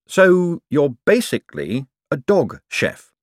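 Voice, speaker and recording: A man voicing a polite, slightly uneasy male boss in an audiobook, probing and quick to apologise. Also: on the high side, huge pitch swings, no echo, studio quality, pitched above the performer's own voice, full character voice. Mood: curious. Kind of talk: audiobook character dialogue.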